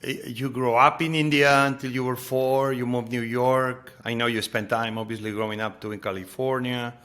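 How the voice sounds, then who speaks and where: A middle-aged man with a Spanish accent hosts a relaxed podcast conversation. His tone is bright and forward, and he stumbles and repeats words while reaching for the right phrase, at a middle pitch. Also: moderate pace. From Spain. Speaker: podcast host. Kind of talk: conversational interview.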